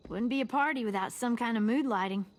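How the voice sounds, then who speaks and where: A woman's voice: on the high side, fast, lightly acted. A woman playing a chirpy, bustling teenage party organizer in a video game, with an upbeat, folksy manner. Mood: upbeat, thoughtful.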